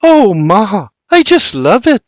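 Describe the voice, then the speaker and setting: A giddy young farm lass with a bright, high voice that swings up and down with excitement, as a man puts her on. She sounds like a storybook daughter gushing over a gift, close and dry. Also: slow, dry recording, exaggerated voice. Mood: excited. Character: young Scottish farm girl, gleeful.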